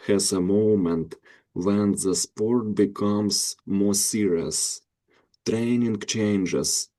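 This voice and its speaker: Deep, slow-reading male interviewer. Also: mellow. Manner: reads prepared questions slowly. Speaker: interviewer, channel host. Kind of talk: scripted interview questions.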